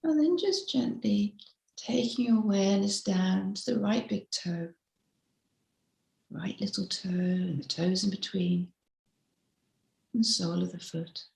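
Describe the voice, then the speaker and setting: A woman mindfulness teacher leading a slow guided meditation in a gentle, unhurried, instructive way. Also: medium pitch, gravelly. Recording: roomy, echoey sound.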